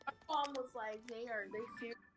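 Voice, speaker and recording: A woman in casual stream chat, venting in an animated way and acting out the voices she quotes. She says her natural voice is deeper and that she pitches it up. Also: brisk. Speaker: stream co-host. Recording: music playing behind.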